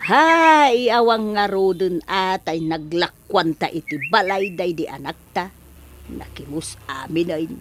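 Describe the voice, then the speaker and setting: A woman's voice: on the low side, animated, measured pace, slight background noise. A woman playing a hardworking, emotional Filipino mother in an audio drama, with an Ilocano accent. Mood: exasperated.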